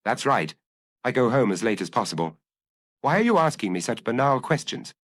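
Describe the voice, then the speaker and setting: A male narrator acts out an anguished man on the run in an audiobook detective tale. He is restless and confessional, his pitch swinging in a dry, close recording. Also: very animated, normal speed, no room sound. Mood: weary, defensive. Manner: agitated, confessional. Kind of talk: audiobook character dialogue.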